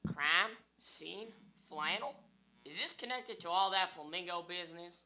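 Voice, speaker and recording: Man acting a very bright-voiced genial tailor. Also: high voice, unhurried, exaggerated voice. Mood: intrigued. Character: genial male shopkeeper tailor, detective's old friend. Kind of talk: hobby radio drama acting. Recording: rough amateur recording.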